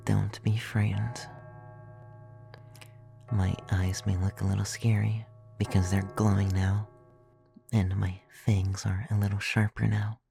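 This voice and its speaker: Guy doing a flirty vampire femboy asmr, mellow gravelly soft voice, whispery, super dry and close. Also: lowish voice, full character voice. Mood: tender, seductive. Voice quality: soft, breathy, often dropping to a whisper. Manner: intimate, teasing, reassuring. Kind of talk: ASMR roleplay. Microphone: close microphone, dry.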